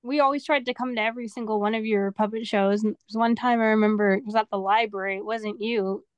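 A woman's voice: medium pitch, fairly even intonation, fast, clear, resonant tone, no room sound. A young adult woman chatting casually as a guest on a video call. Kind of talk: casual chat. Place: small indoor room on a video call. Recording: dull, lo-fi video-call audio.

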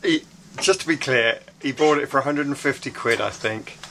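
A man's voice: medium pitch, sharp tone, slight background noise. A man in his sixties co-hosting a sailing vlog, speaking dryly to camera in a yacht cabin and correcting his friend, often restarting his sentences. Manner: dry, correcting, halting with restarts. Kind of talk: casual chat to camera. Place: inside a small yacht cabin. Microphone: handheld action camera close to face.

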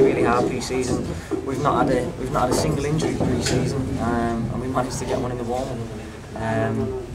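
Man, football manager, frank, halting restarts, distant mic, loud crowd noise. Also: medium pitch. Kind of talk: post-match press interview. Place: small clubhouse room with reporters. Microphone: handheld phones and recorders held up by reporters.

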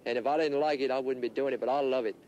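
A man's voice: medium pitch, steady tone, rapid-fire, darkish tone, a little hiss or hum, rough recording. Earnest middle-aged waterman outdoors. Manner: earnest, repeats himself. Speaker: oysterman. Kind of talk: casual interview.

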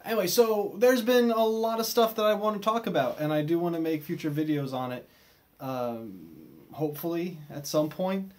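A man in his twenties with an American accent giving a casual vlog update in a hesitant, self-deprecating, animated way, recorded in a small bedroom. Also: a little high, moderate pace. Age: 20s. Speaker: video creator.

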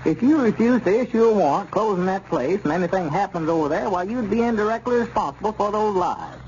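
An insistent, righteous small-town fellow in an old radio serial. His voice is rather high and swings widely, with a rural Southern US accent and folksy, admonishing urgency. The recording is muffled, like vintage broadcast audio. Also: animated, fast talker, a little mellow, a little hiss or hum. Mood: urgent. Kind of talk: 1930s radio serial acting.

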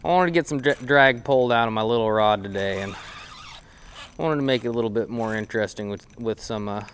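A man's voice: mid-range voice, huge pitch swings, fairly quick, slight background noise. A young man casually talking to camera while fishing on a creek bank, rambling and self-deprecating, recorded on a head-mounted action camera. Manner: laid-back, rambling, self-deprecating, many "uh"s. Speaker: fishing vlogger. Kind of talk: casual outdoor commentary to camera. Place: outdoors on a creek bank under a bridge.

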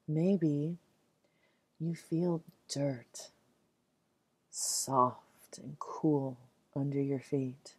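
A woman leading a guided meditation in a soothing, gentle, measured way, leaving long pauses between phrases. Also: sits low, breathy edge, no room sound.

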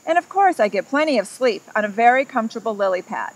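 Woman reader doing a bragging storybook frog athlete, friendly, pitched high. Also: animated, fast, low-quality recording. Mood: proud. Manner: boastful, upbeat. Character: proud friendly male champion frog.

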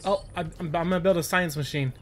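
Man, high-pitched, excitable, casual co-op gaming chat, sweary, gamer host. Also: quick pace, soft background music.

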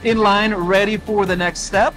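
A man in his thirties hosting a factory tour, talking casually and excitedly with a clip-on mic on a large factory floor. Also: high voice, moderate pace, faint music behind. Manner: excited, repeats "really cool". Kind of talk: casual enthusiastic tour chat.

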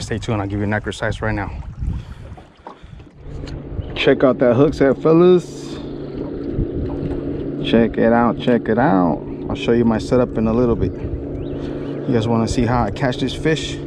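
A man's voice: mid-range voice, measured pace, long pauses. A man in his thirties giving casual running commentary to viewers as a fishing vlogger, repeating catchphrases and addressing them like buddies. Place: small open motorboat on a river. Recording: noisy open-air boat recording.

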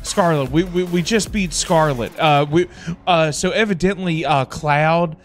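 A middle-aged man chatting casually on a livestream in a halting, repetitive, informal way. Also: on the high side. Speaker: livestream host. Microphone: close desk microphone. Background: background music.

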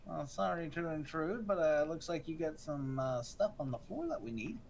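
Teasing man with a lively voice. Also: fairly high pitch, normal speed, creaky. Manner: teasing, joking, giving directions. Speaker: co-commentator, husband. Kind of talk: casual gameplay chat.

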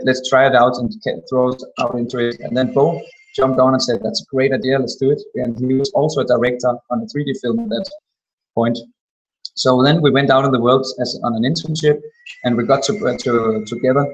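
A man's voice: medium pitch, rough recording. A young Danish man with a Danish accent, an animation studio co-founder answering questions in a casual live Q&A over a webcam, rambling with many fillers. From Denmark. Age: young adult. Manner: flat, rambling delivery with frequent fillers. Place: small indoor room, webcam video call.

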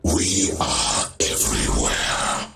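Evil robot virus from a game, super deep and gravelly, vocoder filter, menacing and full of itself. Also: male voice, very animated, bright, forceful tone, exaggerated voice. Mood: grandiose. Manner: menacing, grandiose, slow declamation. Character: menacing male sentient computer virus, grandiose and sinister. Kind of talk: video game voice lines.

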